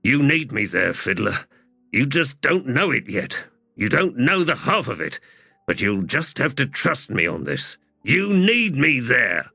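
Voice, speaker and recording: A man's voice: medium pitch, sing-song, a little slow, creaky, bright, forceful tone, husky, no room sound, voice pushed up, full character voice. A man voicing a stubborn, scrappy army sapper in a fantasy audiobook, blunt, insistent and impassioned.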